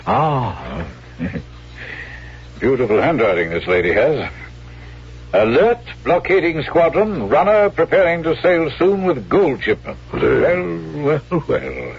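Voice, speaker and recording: An actor playing a suave, scheming gentleman with polite, insinuating and hesitant phrasing in a 1970s radio drama. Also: fairly high pitch, big pitch swings, slow pace, creaky, breathy edge, heavily acted. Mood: cool, calculating. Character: suave, scheming gentleman posing as a concerned friend. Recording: very noisy, dull vintage radio recording.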